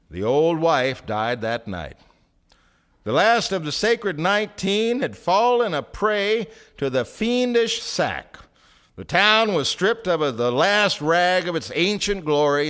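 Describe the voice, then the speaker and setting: An actor playing a wry storyteller, narrating a radio drama on stage with theatrical emphasis and measured pauses. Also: male voice, fairly high pitch, a bit bright, clear, resonant tone, full character voice. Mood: solemn, wry. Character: wry, dramatic storyteller of a small-town tale. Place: theatre stage before a live audience.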